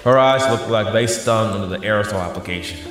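A man's voice: on the low side, fast, close to an everyday voice. A young man acting an anxious, battered superhero in an audio drama, earnest and breathless as he narrates his ordeal. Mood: tense narration. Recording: very echoey hall-like reverb, music behind.

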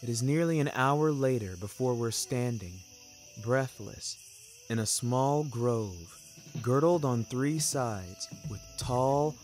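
A man reads first-person fiction as its narrator. His delivery is slow, measured and literary with a wry touch, over a music bed. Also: medium pitch.

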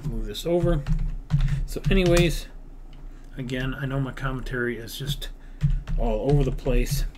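A man's voice: mid-range voice, normal speed, background noise. A man giving casual let's-build gaming commentary, rambling and thinking aloud as he talks. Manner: rambling, half-muttering counts, mild swearing. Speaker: gaming video creator narrating his own build series. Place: indoors at a computer.

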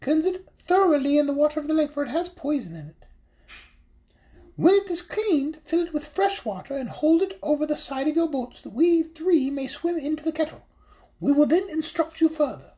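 A reader voicing a solemn enchanted talking fish, speaking in a raised, measured, instructive tone. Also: sits high, very animated, dark tone, voice pushed up. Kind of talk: audiobook character reading.

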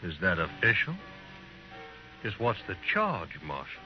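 A man's voice: deep voice, wildly swooping pitch, a little slow, exaggerated voice. An actor playing a cocky, challenging, hot-tempered gunman in a 1950s radio western. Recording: dull old radio recording, faint music.

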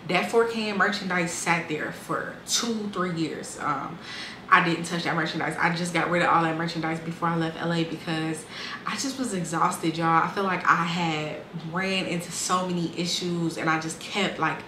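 A woman's voice: fairly low pitch, steady tone, moderate pace, bright, forceful tone, echoey room, slight background noise, poor audio. A woman in her twenties casually and candidly telling her life story, with lots of "um" and "like". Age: 20s. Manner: candid, conversational, frequent fillers and restarts.

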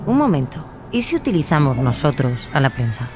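An actor playing an analytical, persuasive criminal profiler in a radio drama. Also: male voice, medium pitch, big pitch swings, brisk, natural voice. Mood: assured, persuasive. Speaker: actor in an audio crime drama.

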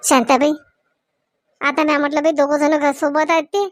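A woman's voice: sits high, flat delivery, moderate pace, dry recording, clean studio sound, lightly acted. A woman voicing an apologetic, anxious village mother in a comedy cartoon, beseeching and repetitive, with a rural Maharashtrian accent. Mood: explaining nervously. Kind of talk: Marathi comedy cartoon dialogue.

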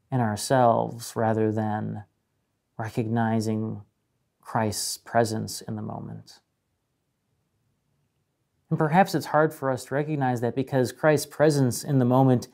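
A man in his thirties preaching a sermon as a pastor, in a measured way with dramatic pauses and emphasis. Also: medium pitch, creaky, darkish tone. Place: indoor room with a painted mural, speaking to camera.

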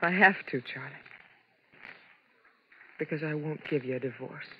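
A woman's voice: low-pitched, a little hiss or hum, close to an everyday voice. Actress as a pleading, frightened showgirl wife. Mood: weary resignation. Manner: pleading, halting, repeating herself. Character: frightened, clinging Las Vegas showgirl wife. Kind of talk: 1950s radio drama acting. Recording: dull 1950s radio broadcast with music.

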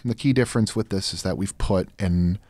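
A man around his thirties speaks in a lowish voice, telling his story casually as a guest. The sound is close and dry, from a studio microphone. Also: fairly quick, a little mellow, dry recording. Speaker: guest, audio drama creator. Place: small indoor studio. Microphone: close studio microphone on boom arm, headphones.

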